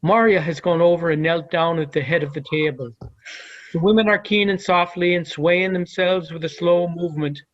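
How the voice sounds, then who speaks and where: A man's voice: a little high, normal speed, muffled recording. A man giving scripted narration, reading out the stage directions of a play.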